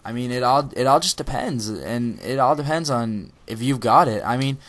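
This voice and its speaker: A man's voice: mid-range voice, animated, normal speed, a bit bright. A teenage boy giving a casual, rambling motivational talk over gameplay footage, with many ums and restarts. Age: teens. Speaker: gaming video creator.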